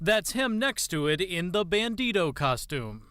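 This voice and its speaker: A male correspondent reading a scripted news feature in a measured storytelling manner. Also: high voice, sing-song, sharp tone, slight background noise.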